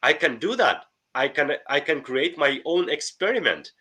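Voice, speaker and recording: A man's voice: a little high, expressive, moderate pace, bright, forceful tone, breathy edge. A middle-aged man with a Russian accent, speaking earnestly and enthusiastically as a podcast guest, often restarting his sentences. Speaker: guest, life coach. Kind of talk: podcast interview.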